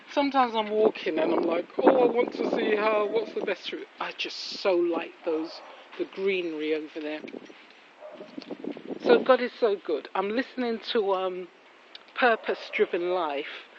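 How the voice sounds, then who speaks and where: Woman chatting outdoors, muffled and noisy. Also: mid-range voice. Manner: rambling, admiring, exclaiming. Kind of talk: casual walking vlog with devotional reflections. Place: outdoors on a residential street after rain. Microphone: handheld phone while walking. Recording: muffled, noisy outdoor street recording with traffic.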